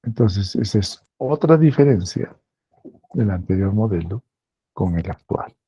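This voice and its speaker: Slow, gravelly Peruvian professor. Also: male voice, lowish voice, animated, warm tone, no echo, muffled recording. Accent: Peruvian Spanish. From Peru. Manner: slow, deliberate, repeats phrases for emphasis. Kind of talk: lecture.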